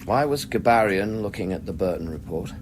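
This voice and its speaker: An actor as a deep-voiced, insistent investigator. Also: male voice, lively intonation, fairly quick, noisy background, close to an everyday voice. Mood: suspicious. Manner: pressing, clipped questioning. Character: probing, insistent male investigator, sober and suspicious. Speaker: actor in a radio play. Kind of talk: radio drama acting.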